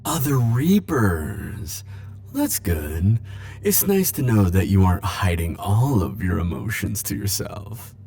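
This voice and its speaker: Man playing low, slow, flirty immortal charmer. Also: very animated, warm tone, heavily acted. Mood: teasing, gently probing. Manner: coy, theatrical, drawn-out teasing phrasing. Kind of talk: ASMR roleplay audio acting.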